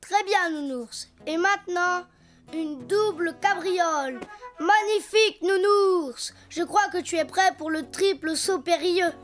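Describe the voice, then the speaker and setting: A little donkey boy in a preschool cartoon. The voice is very high and childlike, taking its time over each playful exclamation. He is cheerful and full of ideas, with music behind him. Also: measured pace. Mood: playful, self-amused. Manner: playful, self-narrating, exclaiming. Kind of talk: French preschool cartoon voice acting.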